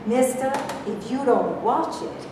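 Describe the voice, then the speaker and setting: A woman's voice: on the high side, takes it slowly. A woman playing an indignant, scornful mother who bickers with men in a staged play reading. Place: reverberant hall, staged reading. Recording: echoey hall, distant mic, faint noise.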